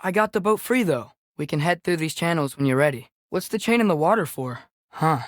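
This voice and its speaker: A man's voice: fairly high pitch, animated, a little fast, no echo, clean studio sound, close to an everyday voice. A young man voicing an earnest, curious teenage boy in a video game, speaking naturally and conversationally. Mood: curious, eager.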